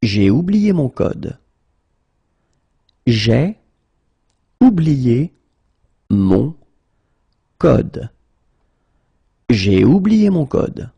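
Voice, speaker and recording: A man teaching French in a pronunciation drill, slowly repeating each phrase and then saying it word by word. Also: medium pitch, sing-song, vocal fry, dark tone, breathy edge, dry recording, highs cut off.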